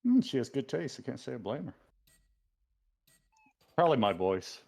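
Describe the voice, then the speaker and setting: A man's voice: medium pitch, very animated, moderate pace, vocal fry, darkish tone. A man co-commentating a video game tournament stream, laid-back and self-mocking in his humor. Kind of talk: casual livestream game commentary.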